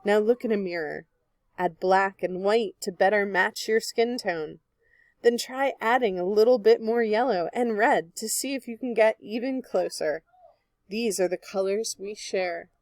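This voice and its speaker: A woman's voice: mid-range voice, a little slow, darkish tone, no echo. Woman reading a children's book aloud, dry sound. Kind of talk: children's read-aloud narration.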